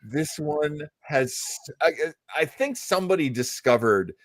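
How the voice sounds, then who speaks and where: A man's voice: a little high, animated, measured pace, no echo. A man hosting a show, chatting casually and musing as he explains things at length. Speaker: radio show host. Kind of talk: casual chat about old radio.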